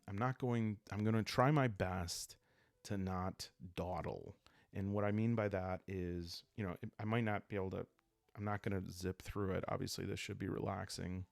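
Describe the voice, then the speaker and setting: A man with a calm, creaky voice hosting a relaxed solo roleplaying game, musing aloud in an unhurried, hesitant way. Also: low-pitched, no room sound. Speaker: host of a solo roleplaying playthrough. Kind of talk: relaxed ASMR game narration, thinking aloud.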